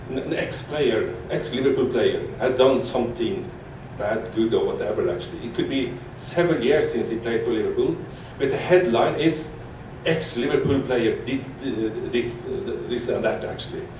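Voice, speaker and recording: A man from Norway asks a long question from the floor, halting and restarting as he goes. His middle-pitched voice comes from far off in a very echoey hall, with a faint hiss underneath. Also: a little mellow. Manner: halting, repeats words, fillers. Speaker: audience member asking a question. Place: large echoey conference hall.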